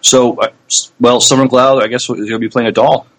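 A man co-hosting a podcast in casual chat, with a hesitant, halting way of talking. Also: medium pitch, little pitch movement, low-quality recording. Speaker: podcast co-host.

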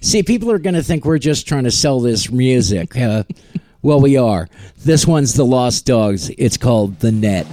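A man's voice: medium pitch, lively intonation, measured pace, warm tone, dry recording. A man hosting a music podcast, chatting casually and joking as he introduces songs. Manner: joking, rambling, self-mocking. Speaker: podcast host.

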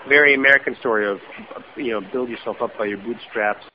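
A man's voice: mid-range voice, fast, dull audio, a little hiss or hum. A man whose first language is German, an author answering interview questions in a reflective, thinking-aloud way with frequent "you know" asides. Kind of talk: radio interview conversation.

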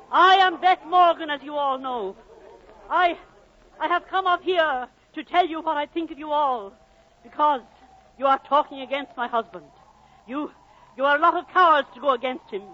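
High-voiced, fierce mother. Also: fairly bright tone. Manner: declamatory, emphatic. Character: fierce, loving Welsh miner's wife and mother. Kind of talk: 1940s radio acting. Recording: dull old radio broadcast, faint audience.

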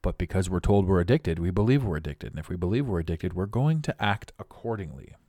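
A middle-aged man with a raspy voice, hosting a podcast with a blunt, preachy motivational monologue. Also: low voice, animated, quick pace, mellow, no echo. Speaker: podcast host.

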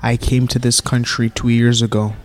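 A man's voice: medium pitch, level tone, normal speed. A man who grew up in Ethiopia, answering in an easygoing way. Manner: easygoing, laughing. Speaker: interviewee.

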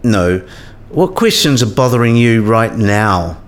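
An actor playing an eccentric, kindly old philosophy professor in a radio play, musing and didactic. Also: male voice, on the low side, very animated, measured pace. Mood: probing. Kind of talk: scripted radio play dialogue.

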